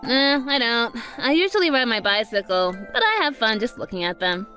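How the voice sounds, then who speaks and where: Woman voicing a high-pitched, brash teenage rebel girl. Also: big pitch swings, moderate pace, sharp tone. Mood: mocking bravado. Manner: mocking bravado slipping into bashful stammering. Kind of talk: anime-style romcom character acting. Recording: music under the voice. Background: background music.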